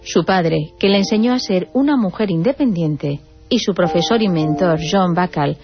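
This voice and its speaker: Spanish woman reading radio feature script, lowish voice, bit muffled, music behind. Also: lively intonation, normal speed. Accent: Spain. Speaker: radio segment presenter. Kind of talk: scripted biographical narration. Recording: dull radio audio over music. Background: clear background music.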